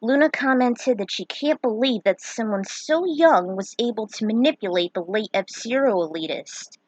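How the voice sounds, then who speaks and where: Woman reading her fanfic out loud, kinda dramatic, chips in opinions, no echo at all. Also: a little high, expressive, moderate pace. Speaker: narrator. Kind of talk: reading a fan fiction story aloud with asides.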